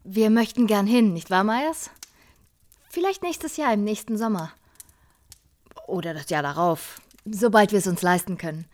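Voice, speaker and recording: Actress as a courteous wife, medium-pitched. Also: female voice, expressive, moderate pace, close to an everyday voice. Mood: polite, conversational. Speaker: actress in a radio play. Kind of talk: naturalistic radio play acting.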